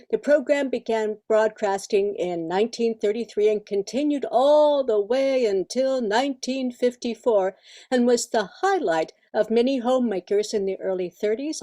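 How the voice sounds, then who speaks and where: A woman's voice: on the high side, dark tone. An older woman with an American accent reading a prepared presentation on radio history from her notes.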